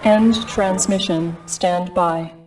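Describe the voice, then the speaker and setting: A woman's voice: fairly low pitch, steady tone, slow pace, a little mellow. A woman voicing an impersonal computer announcer in a video game, reading military briefings in a formal, clinical, matter-of-fact way. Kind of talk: scripted informational narration. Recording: slightly distant, faint background noise.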